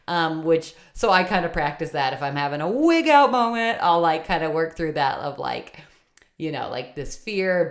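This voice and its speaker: A woman in her late thirties with a low-pitched voice talks casually on a podcast. She rambles easily, leaning on fillers. She keeps agreeing with her co-host. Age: 30s. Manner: chatty, lots of fillers and quick agreement. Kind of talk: casual chat.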